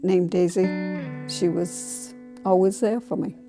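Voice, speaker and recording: A woman's voice: lowish voice, steady tone, slow. A woman, giving brief answers about her own life. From Maine, USA. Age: 70s. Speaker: grandparent being interviewed. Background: acoustic guitar music.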